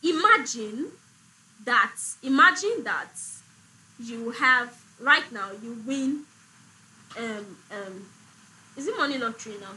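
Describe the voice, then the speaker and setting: A woman's voice: fairly high pitch, lively intonation, slow pace, pauses often, bright, forceful tone, poor audio. A woman hosting a casual talk show, playful and teasing. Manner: playful, teasing, laughs often. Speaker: host. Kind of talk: casual chat.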